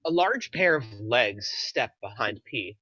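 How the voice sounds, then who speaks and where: A man's voice: mid-range voice, very animated, a little slow, sharp tone, breathy edge, no echo, muffled recording. A man reading screenplay stage directions aloud as the narrator of a table read.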